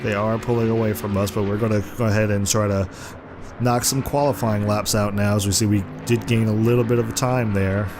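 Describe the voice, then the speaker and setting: A man with a hoarse, congested voice from the flu, giving casual play-by-play over a racing video game. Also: on the low side, fairly quick. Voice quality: rough, congested voice. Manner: excited play-by-play, rising sharply at crashes. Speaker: gaming commentator. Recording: game music and car noise underneath. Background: racing game engine noise and music.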